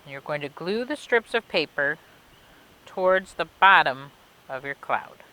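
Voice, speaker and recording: A woman reading and explaining things for children in an expressive way, with simple, clear phrasing, like a children's librarian. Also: low-pitched, a little slow, rough recording. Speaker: children's librarian reading a story and demonstrating a craft. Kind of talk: read-aloud storytelling and craft instructions for children.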